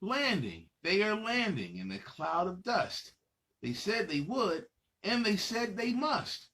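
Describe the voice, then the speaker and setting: Male kids' storyteller, higher-pitched and very animated, playful and dramatic delivery. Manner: playful, dramatic, pauses to ask young listeners questions. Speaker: children's storybook reader and host. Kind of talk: animated read-aloud storytelling for children.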